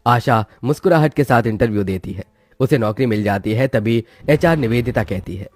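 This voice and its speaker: A man's voice: mid-range voice, normal speed, dry recording. A man reading a scripted moral story as its narrator, with music behind him.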